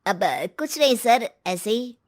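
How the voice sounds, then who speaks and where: A woman's voice: on the high side, animated, unhurried, dry recording, studio quality. A woman voicing a humble, kind-hearted male waiter in a story, wistful and unassuming. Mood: polite.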